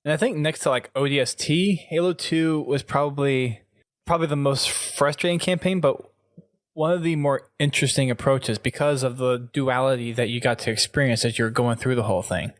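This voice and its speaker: Male podcast host, mid-pitched, casual, enthusiastic, dry recording. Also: fairly quick, no room sound. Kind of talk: casual gaming podcast talk.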